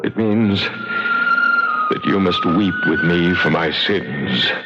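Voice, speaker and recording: An actor playing a mournful, doomed ghost with solemn, poetic delivery in 1940s radio style. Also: male voice, low-pitched, slow. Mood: grave, ominous. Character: mournful, doomed male ghost, pleading and ominous. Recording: muffled old radio broadcast with loud music.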